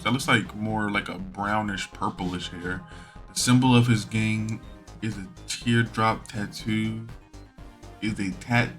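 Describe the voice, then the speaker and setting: A man's voice: lowish voice, fairly bright tone. A man playing a video game, reading its dialogue and narration aloud in a casual, slangy way over game music. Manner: acts out lines in slangy casual speech.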